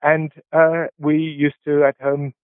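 Brief: professor in his 60s, Polish, halting and reflective, heard over a dry, tinny phone line. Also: a little high, level tone, no echo. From England. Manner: halting, many filler sounds and restarts. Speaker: professor, interview guest. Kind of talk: reflective interview answers.

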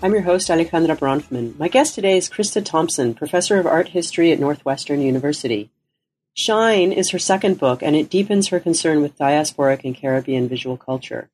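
A woman's voice: low voice, expressive, brisk, a little mellow, poor audio. A woman hosting an interview podcast, reading an introduction and then asking conversational questions. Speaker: podcast host.

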